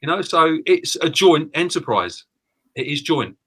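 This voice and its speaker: A man's voice: on the high side, very animated, a little slow, a bit bright, breathy edge. A man co-hosting a live stream, agreeing and ranting, often repeating and stumbling over his words. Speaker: co-host, business owner.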